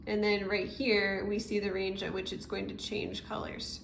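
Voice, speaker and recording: A woman's voice: medium pitch, completely flat delivery, normal speed, gravelly. A woman teacher giving a casual, explanatory step-by-step tutorial, recorded indoors at a desk. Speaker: chemistry teacher. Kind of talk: informal step-by-step tutorial narration. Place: indoor room, at a desk over paper. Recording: roomy echo with noticeable background noise.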